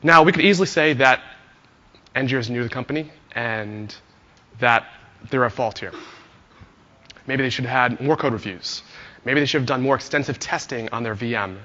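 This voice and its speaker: A hoarse man giving a friendly conference talk to an audience, telling a story with many "uh" fillers. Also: medium pitch, normal speed, pauses often, sharp tone, long reverb tail, muffled recording. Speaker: conference speaker, engineer.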